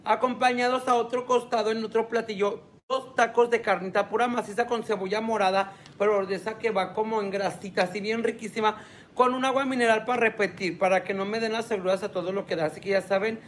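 A woman's voice: mid-range voice, fairly even intonation, fairly quick, slight background noise. A Mexican woman telling what she ate in a chatty, savoring way, full of little diminutives. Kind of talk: chatty food story.

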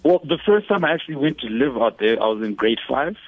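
A man's voice: medium pitch, quick pace, dry recording, narrow, tinny audio. Radio guest, man, 30s, from Zimbabwe, easygoing and rambling, dry studio sound. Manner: relaxed, many fillers and restarts. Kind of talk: casual interview.

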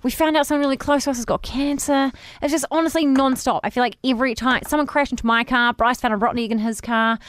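Podcast co-host: New woman, 30s, blunt and sweary, higher voice, dry studio mic. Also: fairly even intonation, quick pace, few pauses, no echo. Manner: frank, swears freely, rambling storytelling. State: recovering from pneumonia. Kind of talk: casual podcast chat.

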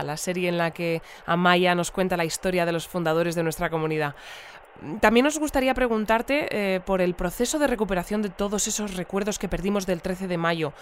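An actress with an accent from Spain playing a composed radio interviewer, measured and politely formal, in a fiction podcast. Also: female voice, fairly low pitch, slight background noise, natural voice. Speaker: radio host. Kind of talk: radio presenting, acted.

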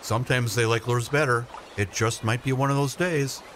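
A man's voice: mid-range voice, fairly even intonation, moderate pace, a bit bright, lightly acted. A man playing a patient, encouraging grown-up teaching a child to fish in a radio play. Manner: patient, coaching. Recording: clear mechanical and outdoor noise.

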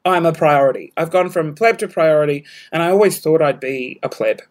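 A young Australian woman hosting her podcast at home, her voice worn hoarse, chatting in a rambling, self-mocking way with scattered asides. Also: low-pitched, brisk. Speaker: podcast host. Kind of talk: casual solo podcast chat. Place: home room, balcony door open.

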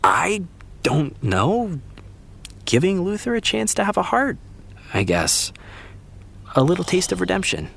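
Young American male, podcast drama, supportive buddy, casual, teasing, expressive swings in pitch. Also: measured pace, gravelly, pauses often, slight background noise, heavily acted. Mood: tentative, earnest. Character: laid-back, supportive male TV actor friend. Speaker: voice actor. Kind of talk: naturalistic fiction podcast dialogue.

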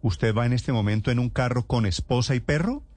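A male news host puts short, pointed questions to his guest. His delivery is brisk and businesslike. Faint noise hums in the background. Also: mid-range voice, darkish tone.

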